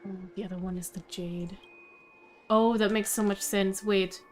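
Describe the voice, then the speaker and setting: A woman's voice: mid-range voice, fairly even intonation, moderate pace, faint music behind. A woman giving casual live commentary as she streams a video game.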